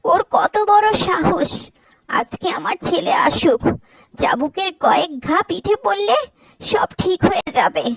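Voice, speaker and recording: A woman acts out a haughty older cartoon mother-in-law. Her voice is very high and dry, with a cutting, indignant edge. She scolds and threatens with cruel authority. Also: expressive, measured pace, vocal fry, no room sound, full character voice, low-quality recording. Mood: angry, threatening. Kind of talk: Bengali cartoon voice acting.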